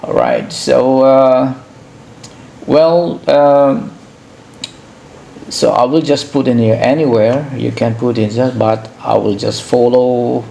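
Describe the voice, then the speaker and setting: Gravelly Indonesian man giving a halting tutorial. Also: medium pitch, dark tone, a little hiss or hum. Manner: hesitant, halting, repeats himself. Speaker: tutorial host. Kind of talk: informal software tutorial narration.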